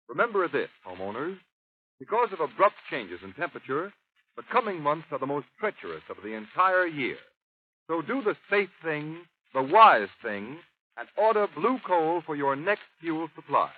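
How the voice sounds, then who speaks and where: Male 1930s radio pitchman: animated inflection, emphatic persuasion, raised register, tinny period sound. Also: normal speed, a little hiss or hum. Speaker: radio announcer.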